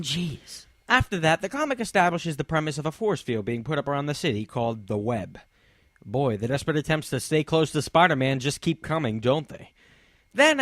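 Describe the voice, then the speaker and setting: A man's voice: medium pitch, a bit bright, no room sound, studio quality. A young man reading a sarcastic, mocking review of a comic, with snarky asides.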